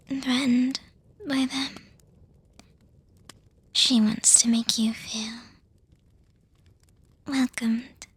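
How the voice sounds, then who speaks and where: A refined, doting young vampire girlfriend, acted by a woman for an ASMR roleplay. Her voice is high and extremely breathy, mostly whispered, with very little melodic movement. She lingers over words with hesitant pauses and soft hums. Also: no echo, exaggerated voice. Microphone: close microphone, dry.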